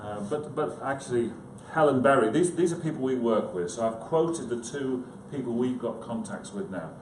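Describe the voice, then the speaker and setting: A man's voice: medium pitch, animated, normal speed, darkish tone. A man giving an informal conference talk, hesitant and self-deprecating, with chatty asides to his listeners. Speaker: conference speaker, psychiatrist. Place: conference room, indoors. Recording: echoey room, clearly noisy background.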